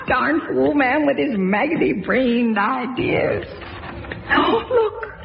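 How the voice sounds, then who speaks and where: A woman playing a stubborn, feisty old spinster who scolds in folksy, sharp-tongued turns of phrase. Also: sits high, very animated, slow, vocal fry, noisy background, heavily acted. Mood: cantankerous. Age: older. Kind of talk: radio drama acting. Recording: dull recording under loud music.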